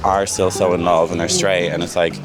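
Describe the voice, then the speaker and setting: A man's voice: sits low, level tone, fairly quick, loud music behind the voice. A man speaking earnestly and openly about love on a city street. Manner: earnest, open. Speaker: passer-by.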